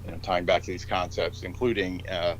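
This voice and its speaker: A man's voice: sits low, little pitch movement, fairly quick, a little hiss or hum, low-quality recording. A man speaking as a podcast guest, musing aloud with many fillers.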